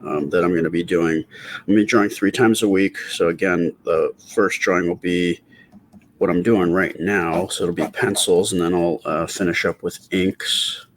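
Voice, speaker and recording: A middle-aged man talking softly and casually in ASMR style as he draws, with a quiet, hesitant, rambling delivery. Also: low voice, fairly even intonation, normal speed. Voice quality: quiet, soft-spoken voice. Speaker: artist and channel host. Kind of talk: casual ASMR storytime while drawing.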